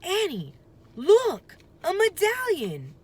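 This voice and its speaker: Woman voicing an anxious, high-pitched young boy. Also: huge pitch swings, takes it slowly, full character voice. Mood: urgent, alarmed. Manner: urgent exclamations, shouting and warning. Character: anxious young boy urgently warning his sister.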